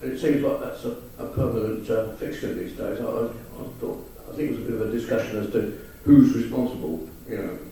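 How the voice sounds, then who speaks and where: English man, resident, halting, low mellow voice, echoey hall. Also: gravelly, a little hiss or hum. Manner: halting, many fillers and restarts. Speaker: resident asking a question. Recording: echoey hall, distant mic.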